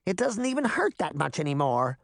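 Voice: medium pitch, big pitch swings, a little fast, gravelly, dry recording, clean studio sound, pitched above the performer's own voice. A narrator voicing an eager, pushy female witch who insists on being melted. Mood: insistent. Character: eager theatrical female witch, cheerfully fated to melt.

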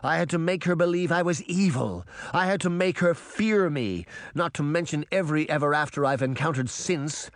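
A man voicing a sharp-tongued, weary wicked queen in a storybook reading, scolding and emphatic. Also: fairly high pitch, moderate pace, few pauses, no echo, clean studio sound, pitched above the performer's own voice, close to an everyday voice. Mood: bitter, defensive.

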